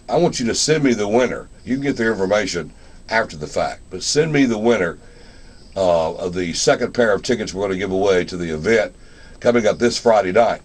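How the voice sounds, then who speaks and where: A man's voice: fairly low pitch, breathy edge. A man hosting a casual live radio interview in an informal, rambling, conversational way. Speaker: radio show host.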